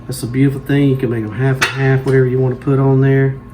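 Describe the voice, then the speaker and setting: A man's voice: mid-range voice, flat delivery, fast talker, background noise. A man, hosting a home cooking show and walking viewers through making pizza in a folksy, instructive way.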